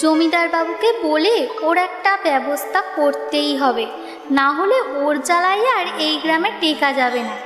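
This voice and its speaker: A woman's voice: high-pitched, moderate pace, natural voice. A woman voicing a chatty, inquisitive young village girl in a children's cartoon. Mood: complaining. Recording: echoey reverb, music behind.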